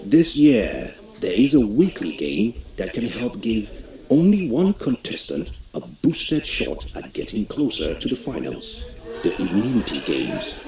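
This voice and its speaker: A man narrating a business reality show in a measured, announcing delivery. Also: lowish voice, huge pitch swings, echoey room, over music, low-quality recording.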